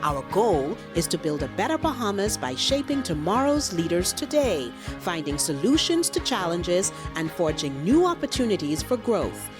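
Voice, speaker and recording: A woman's voice: fairly low pitch, big pitch swings, normal speed, few pauses, music in the background. A woman reading a scripted promotional introduction as a radio show announcer.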